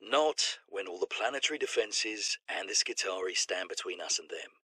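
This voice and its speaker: A man's voice: deep voice, animated, moderate pace, bright, forceful tone, husky, no room sound, heavily acted. A man playing an unworried, dismissive factory worker in a sci-fi game.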